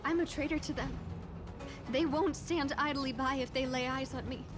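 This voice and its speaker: A woman's voice: sits high, steady tone, moderate pace, music in the background, natural voice. A woman playing a weary, frightened young nurse on the run in a video game, sounding resigned.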